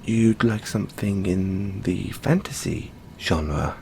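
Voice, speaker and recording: Man playing a slow-spoken, shy owl boy librarian. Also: low voice, creaky, slight background noise, heavily acted. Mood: polite, helpful. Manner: soft-spoken, hesitant, gently bashful. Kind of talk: audio roleplay addressed to the listener.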